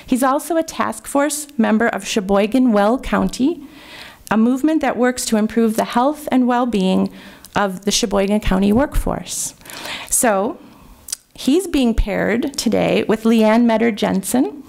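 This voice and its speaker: A woman's voice: on the high side, expressive, a little slow, gravelly, darkish tone. A woman in her sixties hosting a library poetry event, introducing guests in a friendly, informal way at a lectern. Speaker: event host. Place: library meeting room. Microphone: lectern microphone.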